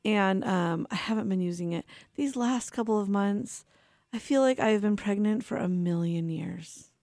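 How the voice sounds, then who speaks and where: A woman's voice: mid-range voice, moderate pace, darkish tone, no room sound, studio quality. A woman of forty with a young-sounding voice, hosting a radio show in a rambling, personal way, tired and laughing often. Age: 40s. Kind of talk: casual chatty radio talk.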